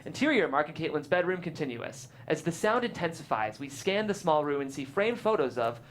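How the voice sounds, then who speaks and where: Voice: mid-range voice, lively intonation, a little fast, slight background noise. A reader narrating the stage directions in a script table read.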